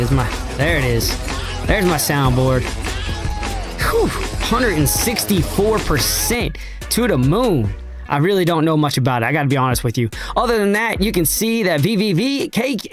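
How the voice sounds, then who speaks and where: A man hosting a casual crypto trading livestream, slangy and hyped, his voice raspy and hoarse from a cold. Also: high voice. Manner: slangy, hyped, addresses chat directly. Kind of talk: casual livestream market talk.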